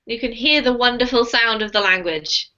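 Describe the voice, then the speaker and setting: A woman with a high, bright voice leads a live online English lesson in a friendly, chatty way. She speaks over a video call, and the sound comes through dull and lo-fi. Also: normal speed. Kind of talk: live online group class. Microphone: computer mic on a video call.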